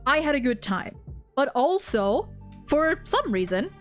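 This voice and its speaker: Female host, higher voice, off-the-cuff and emphatic chat with rambling tangents, light music underneath. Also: sing-song, a little slow. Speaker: host of a video game story ranking discussion. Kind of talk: casual opinionated chat, unscripted.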